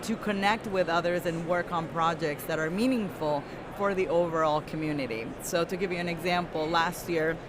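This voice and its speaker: A woman's voice: on the low side, moderate pace, hardly any pauses. A woman chatting casually as an interviewer. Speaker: interviewer, conference volunteer. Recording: clear urban background noise.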